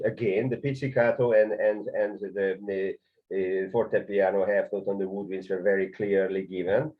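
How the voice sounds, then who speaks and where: A man with a Hungarian accent explaining conducting in a video lesson, hesitant, with many filler sounds and restarts. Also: fairly low pitch. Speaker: conducting teacher. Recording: dull lo-fi sound.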